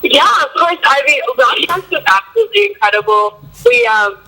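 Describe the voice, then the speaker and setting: A young woman in a band, a bit hoarse from a cold, answering interview questions in a loose way with lots of fillers. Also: very high voice, lively intonation, normal speed, pushed and bright, low-quality recording. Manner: loose, filler-heavy talk with frequent likes and ums. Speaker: band member.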